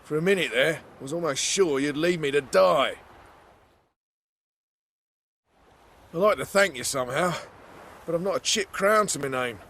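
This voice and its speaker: A creaky-voiced army deserter. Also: male voice, on the high side, fairly quick, a bit bright. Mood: relieved, grateful. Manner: coarse, colloquial. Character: rough, beaten-down male army deserter, plain-spoken and grateful. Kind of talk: video game character acting.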